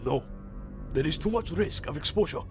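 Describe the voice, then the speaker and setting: A man voicing a nervous, scheming alien trade magnate with a creaky, halting voice in a comic dub, faint music behind. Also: a little high, lively intonation, close to an everyday voice. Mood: anxious, guarded.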